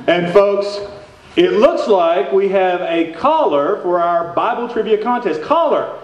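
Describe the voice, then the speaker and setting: A man's voice: high voice, lively intonation, unhurried. An older man playing a folksy small-town radio station host in a live radio play, with showy announcer patter. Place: theater stage with stand microphone. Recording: echoey stage hall, dull sound.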